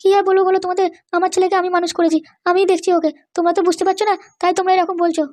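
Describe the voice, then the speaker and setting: Woman voicing a squeaky, monotone anxious cartoon parent. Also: rapid-fire. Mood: defensive, plaintive. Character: anxious, pleading older parent desperate to save a son. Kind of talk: amateur cartoon dialogue.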